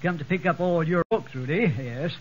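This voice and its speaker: An actor playing a dithering, absent-minded small-town barber in a 1940s radio comedy, muttering and repeating himself. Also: male voice, on the high side, moderate pace, lightly acted. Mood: bumbling. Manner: muttering, repeats yeah and yes, bumbling. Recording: noisy muffled 1940s radio recording.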